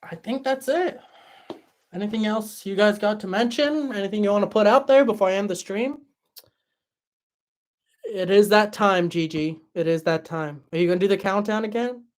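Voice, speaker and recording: A man's voice: sits high, moderate pace. Chatty young man, tired voice. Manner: relaxed, chatty, many fillers and restarts. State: voice tired after a long stream. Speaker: livestream host reading chat. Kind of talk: casual livestream chat.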